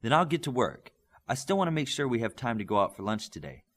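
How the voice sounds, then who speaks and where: A man's voice: medium pitch, very animated, fast. A man voicing a motherly woman scientist with an eager, emphatic manner in an audiobook. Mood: businesslike.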